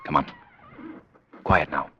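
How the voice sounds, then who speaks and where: A man playing a brisk, determined gentleman sleuth in old-time radio drama, with a take-charge manner. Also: lo-fi sound. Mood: brisk, hushed. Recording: noisy, clicking old radio recording.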